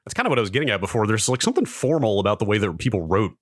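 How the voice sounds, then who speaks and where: A man's voice: lowish voice, animated, very fast, no echo, studio quality. A middle-aged American man co-hosting a podcast in casual, conversational talk. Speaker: podcast co-host. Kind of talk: casual chat.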